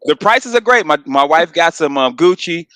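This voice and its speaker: A man's voice: on the high side, expressive, normal speed, bright, forceful tone, no room sound. A man hosting a comedy radio show with hyped, slangy, casual banter.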